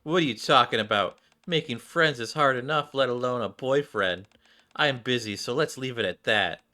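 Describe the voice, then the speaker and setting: Shy schoolgirl fashion lover for a game scene, read by a man, bright, earnest, anxious. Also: medium pitch, moderate pace, close to an everyday voice. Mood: flustered, evasive.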